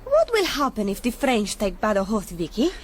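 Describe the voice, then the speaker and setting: A woman's voice: on the high side, huge pitch swings, normal speed, a little hiss or hum. An actress playing a bold, knowing Spanish teenage girl, pert and inquisitive, in a radio historical drama. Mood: insistent, curious.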